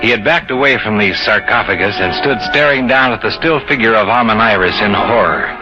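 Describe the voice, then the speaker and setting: Calm, wry expedition narrator played by a man, old-time radio, rather deep, dull hissy sound. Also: brisk, music in the background, natural voice. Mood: grave narration. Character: level-headed, wry male expedition member who also narrates. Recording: muffled, noisy old radio recording.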